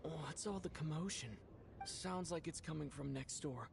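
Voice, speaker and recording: An actor playing a curious, uneasy young traveler in a video game, pensive and puzzled. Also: male voice, on the high side, normal speed, vocal fry, a little hiss or hum.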